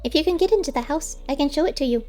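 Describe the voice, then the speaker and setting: A woman's voice: high voice, racing, a little mellow, soft background music, pitched above the performer's own voice, natural voice. A woman voicing a wistful, plain-spoken male squirrel with unusual cleverness, striking bargains in an audiobook story. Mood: practical. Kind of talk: audiobook character voice.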